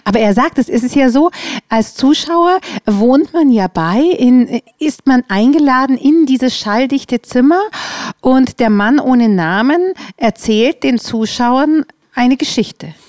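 Higher-voiced woman hosting, dry sound. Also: expressive, slow pace, a little mellow, dry recording. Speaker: podcast host, interviewer. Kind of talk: conversational interview.

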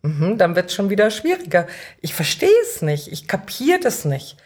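A middle-aged woman chats as an interview guest in a voice pitched a little low. She talks earnestly and with emphasis, in an informal, conversational style. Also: sing-song, normal speed. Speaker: podcast guest, cultural manager and councillor.

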